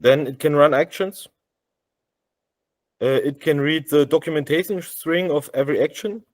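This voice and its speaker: A man with a German accent presenting a software demo over a video call, speaking haltingly with many fillers and restarts. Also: fairly high pitch, no room sound. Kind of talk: live screen-share demo on a video call.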